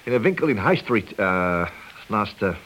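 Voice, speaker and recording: An actor playing a wily senior police detective in a radio play, leisurely and needling behind a friendly front. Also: male voice, lowish voice, sing-song, normal speed, fairly bright tone, highs cut off. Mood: falsely friendly. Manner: slyly affable, leisurely, needling. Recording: hissy old radio play recording.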